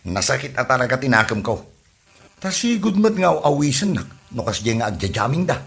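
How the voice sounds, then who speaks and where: A man's voice: mid-range voice, very animated, a little fast, gravelly, fairly bright tone. A man playing an aggrieved, whiny freeloading husband in a comedy audio drama, with an Ilocano Filipino accent. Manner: complaining, sulky.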